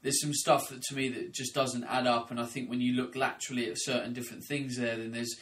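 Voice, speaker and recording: A man's voice: mid-range voice, flat delivery, fast, a bit bright, far from the mic, slight background noise. Blunt, chatty man hosting a podcast. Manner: blunt, chatty, says mate. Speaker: podcast host. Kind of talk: casual podcast chat.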